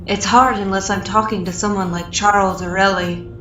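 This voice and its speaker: A woman's voice: mid-range voice, steady tone, normal speed, fairly bright tone, reverberant, far from the mic, lightly acted. A woman voicing a weary but polite young male adventurer in a comic fan dub. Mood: hesitant, mild. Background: music.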